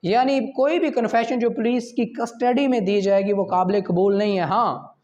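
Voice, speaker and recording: A man with a Pakistani accent lecturing law students, reading formal passages slowly and deliberately, then explaining them didactically. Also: high voice, level tone, darkish tone, dull audio.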